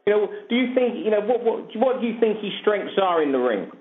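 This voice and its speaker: A British man asks questions as a reporter, speaking rapidly in a fairly high voice. He is heard over a thin, echoing telephone connection. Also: very animated. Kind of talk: asking questions on a conference call. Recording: echoey, thin phone line.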